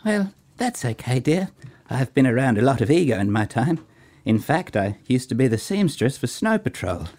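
A man's voice: mid-range voice, very animated, normal speed, darkish tone. Man putting on a coaxing motherly older woman, swooping voice. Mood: soothing, confiding. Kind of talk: radio play acting.

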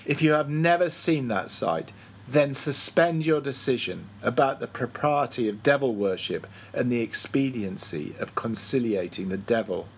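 A man's voice: mid-range voice, expressive, normal speed, slight background noise. A male audiobook reader with a mid-range voice. He delivers scripted literary narration, reading classic prose aloud as a story.

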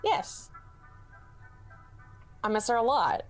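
A woman's voice: fairly high pitch, big pitch swings, slow pace, soft background music. A woman with a Canadian accent plays a confused, sarcastic young woman in therapy, deflecting and muttering asides. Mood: wistful. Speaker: therapy patient. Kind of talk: student radio comedy acting.

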